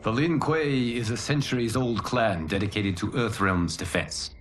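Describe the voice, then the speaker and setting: An actor playing a calm fire god and mentor in a game cinematic, speaking in a formal, measured, authoritative way. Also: male voice, mid-range voice, faint music behind, lightly acted. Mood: explaining gravely. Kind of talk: video game cinematic acting.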